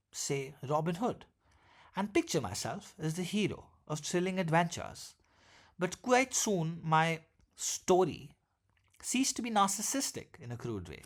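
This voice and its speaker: Guy reading an essay out loud, slightly high voice, pitch moves around a lot, pauses between phrases. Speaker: essay reader. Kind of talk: scripted read-aloud narration of a literary essay.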